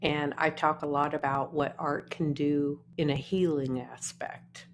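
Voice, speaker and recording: A woman's voice: steady tone, slight background noise. A woman, musing unhurriedly in a casual vlog from her apartment. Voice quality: naturally deep voice. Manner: unhurried, musing, trailing off between phrases. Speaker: artist vlogger. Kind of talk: casual talking-to-camera vlog.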